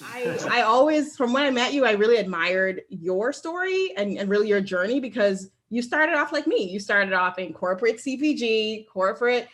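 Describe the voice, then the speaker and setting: A woman's voice: fairly high pitch, lively intonation, normal speed, hardly any pauses. Curious woman host, faint music behind. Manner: warm, curious questioning with many backchannels. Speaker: podcast host. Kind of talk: casual interview talk.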